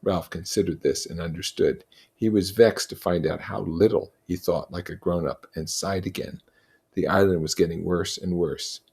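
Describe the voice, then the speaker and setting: Low-pitched man reading a novel aloud. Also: fairly even intonation, normal speed, gravelly, a little mellow, husky. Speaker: reader and teacher. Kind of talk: read-aloud narration with explanatory comments.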